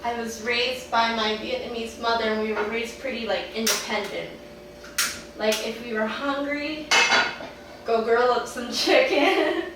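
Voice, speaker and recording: A young woman in her twenties from Miami chats through a cooking demo, giggling often and taking her time over each word. Her voice sits a little high. She is picked up from a distance in an echoey home kitchen with clear noise behind her. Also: fairly even intonation, a little slow. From Miami, Florida. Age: 20s. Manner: bubbly, giggly, chatty. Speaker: cooking show contestant. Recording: echoey room, distant mic, noisy.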